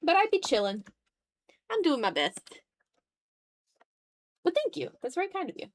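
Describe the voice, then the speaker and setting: A young adult woman chatting casually on an art livestream, animated and slangy, with a self-deprecating way of talking. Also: high-pitched, normal speed. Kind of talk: casual livestream chat while drawing.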